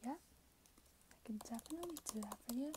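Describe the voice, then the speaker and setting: A woman in her twenties speaking softly and breathily, often in a whisper, in a casual ASMR roleplay as a shop assistant chatting with a customer. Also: fairly high pitch, lively intonation, moderate pace, noisy background. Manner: casual, conversational, hesitant pacing as if chatting with a customer. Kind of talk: soft-spoken ASMR roleplay. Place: small plain room indoors.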